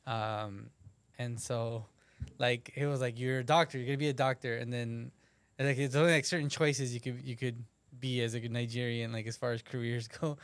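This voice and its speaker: A man's voice: medium pitch, fairly even intonation, normal speed, no echo. Laid-back man chatting, dry sound. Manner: laid-back, rambling, swears casually. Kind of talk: casual chat.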